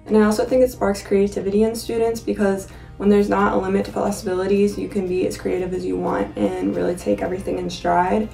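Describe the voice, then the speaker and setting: A young college woman from Kentucky speaks in a mellow, slightly gravelly voice with flat intonation. She sounds even and thoughtful, with soft music faintly behind her. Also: medium pitch, a little fast, roomy sound, recorded from a distance, poor audio. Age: young adult. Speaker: student. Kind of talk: scripted testimonial.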